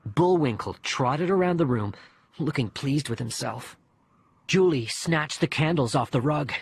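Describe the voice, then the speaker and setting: A man's voice: fairly high pitch, lively intonation, unhurried, slightly rough. A man reading a first-person audiobook story expressively, acting out the dialogue. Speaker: audiobook narrator. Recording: music behind the voice. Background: music.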